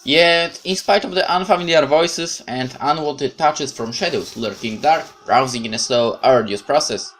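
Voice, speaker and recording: A man's voice: mid-range voice, moderate pace, hardly any pauses, a bit bright. A man reading a horror visual novel aloud as a let's play host, dramatic narration with casual asides.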